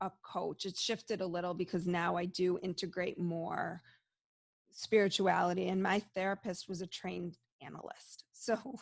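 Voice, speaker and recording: Guest voice: middle-aged woman, lowish pitch, dry close sound, thoughtful and candid. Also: level tone, normal speed, gravelly, no room sound. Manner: open, reflective, many restarts. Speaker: interview guest, psychologist and medium. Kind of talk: casual interview talk.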